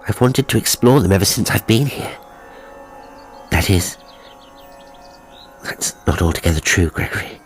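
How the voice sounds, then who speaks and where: A man voicing an anxious, pleading young English woman in an audiobook, breathy, earnest and insistent. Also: low voice, animated, normal speed, pauses often, soft background music. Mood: nervous. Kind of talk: audiobook character acting.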